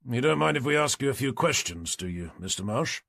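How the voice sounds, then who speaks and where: A man's voice: medium pitch, animated, a little fast, creaky, fairly bright tone, dry recording. A man voicing a dry, wry detective inspector asking questions in an audiobook, with a British accent. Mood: polite.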